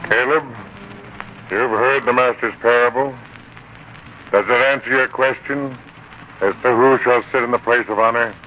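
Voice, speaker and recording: An actor playing a calm, wise biblical disciple, measured and instructive, in a 1940s radio drama. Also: male voice, mid-range voice, close to an everyday voice. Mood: calm, reverent. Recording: muffled, noisy 1940s radio broadcast.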